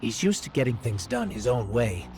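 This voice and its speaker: A man's voice: mid-range voice, normal speed, background noise, close to an everyday voice. A man voicing an anxious, chatty robot companion in a sci-fi video game, earnest and wry. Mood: wry, concerned.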